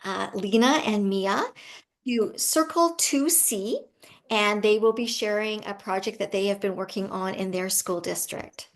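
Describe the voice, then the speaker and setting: A woman's voice: medium pitch, a little slow. A woman moderating a session over a video call, briefly introducing the next presenters.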